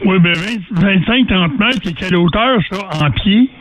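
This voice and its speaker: A man with a Quebec French accent chatting casually as an amateur radio operator, telling stories in a halting way, searching for his words. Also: high voice, animated, very noisy. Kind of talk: casual radio chat, storytelling.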